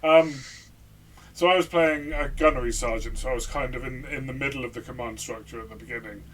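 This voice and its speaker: A man's voice: mid-range voice, fairly quick, far from the mic, a little hiss or hum. A man chatting casually as a player and co-reviewer, tentative and often repeating his words as he goes.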